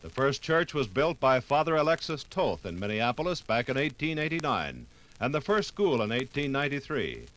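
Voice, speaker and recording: A man reading scripted 1950s radio documentary narration in a formal, measured announcer style. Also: mid-range voice, a bit bright, poor audio.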